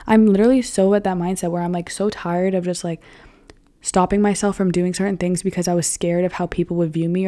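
A woman's voice: on the low side, dark tone. Chatty, cursing woman in her twenties. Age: 20s. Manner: chatty, frank and profane, lots of "like". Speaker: vlogger. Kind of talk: casual talk to camera.

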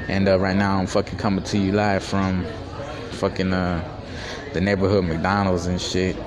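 Brief: young man, very low voice, streetwise and profane, boastful, restaurant crowd chatter behind. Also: little pitch movement, moderate pace. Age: 20s. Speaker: podcast host. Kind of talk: casual solo podcast monologue. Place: fast-food restaurant.

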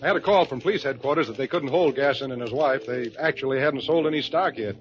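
An actor playing a wry, relaxed husband in 1950s radio comedy, on a dull old radio recording. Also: male voice, mid-range voice, fast, soft background music, lightly acted. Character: easygoing, wry young husband.

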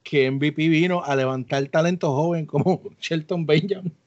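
Casual podcast co-host, man, slightly high voice, dull low-fidelity recording. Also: moderate pace, no room sound. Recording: dull lo-fi audio.

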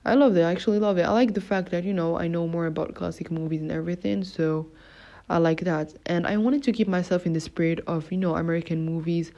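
A woman's voice: lowish voice, fairly even intonation, fast talker, warm tone. A young adult woman from Morocco hosting a solo podcast, chatting casually with many fillers and self-corrections. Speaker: podcast host. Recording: dull lo-fi recording.

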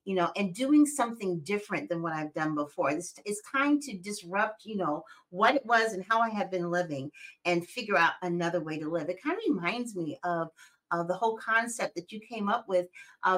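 Chatty woman in her sixties. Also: mid-range voice. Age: 60s. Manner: conversational, lots of "you know", animated. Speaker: podcast host. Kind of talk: casual interview chat.

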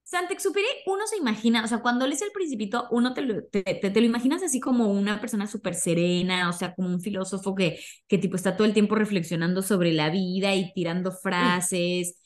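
A young Mexican woman hosts a podcast in a medium-pitched voice. She tells stories informally, as if sharing gossip with a friend, in casual conversational language. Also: a little fast, hardly any pauses. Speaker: podcast host.

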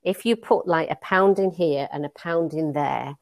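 A middle-aged woman from Leeds with a Northern English accent, a guest telling stories in a relaxed interview with many fillers and restarts. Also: low-pitched, moderate pace, gravelly, no echo, studio quality. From Leeds, England. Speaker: guest, money advisor. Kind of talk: conversational interview.

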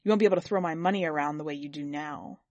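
Audiobook husband, played by a woman: pitched down, sour, gloomy, belittling, short-tempered, very dry close recording. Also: moderate pace, a little mellow, no echo. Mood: gloomy, boastful. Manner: gloomy, brutal, complaining. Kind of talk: dramatic audiobook character reading.

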